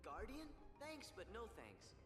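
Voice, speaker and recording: A man's voice: high voice, huge pitch swings, a little slow, exaggerated voice. A man playing an eager, cheeky young hero boy in a video game. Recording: echoey game audio with music over it.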